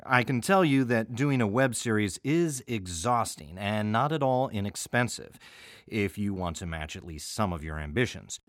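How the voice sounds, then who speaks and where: A man's voice: mid-range voice, no echo, studio quality. A middle-aged American man hosting a radio introduction in a chatty, wry, digressive conversational way. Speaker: artistic director of an audio theater, host.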